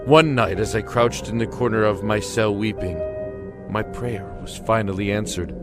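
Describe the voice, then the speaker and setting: A man narrating a scripted first-person dramatic story with deliberate, somber phrasing. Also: lowish voice. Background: music.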